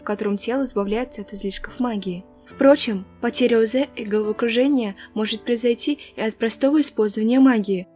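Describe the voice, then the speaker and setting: A woman playing a calm, caring monster doctor in a comic dub, explaining in a measured, clinical way. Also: fairly high pitch, lightly acted.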